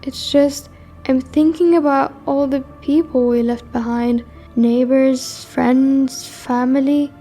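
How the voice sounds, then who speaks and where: A woman's voice: sits high, steady tone, takes it slowly, dark tone, soft background music. A woman playing a guilt-ridden but resolute teenage war refugee in an amateur radio drama. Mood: guilty, resolute.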